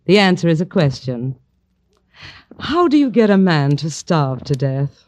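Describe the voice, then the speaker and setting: Languid society hostess, swooping delivery. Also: female voice, sits low, sing-song, a little slow, darkish tone, dry recording. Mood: coolly arch. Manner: arch, coy, drawling. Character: languid, haughty, morbidly amused society hostess woman. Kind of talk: 1950s radio drama acting.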